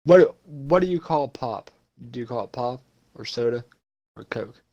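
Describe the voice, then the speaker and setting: A man's voice: medium pitch, lively intonation, a little slow, mellow, highs cut off. A young man from Ohio chatting casually on a podcast, rambling and swearing freely. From Ohio, USA. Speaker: podcast co-host. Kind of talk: casual chat.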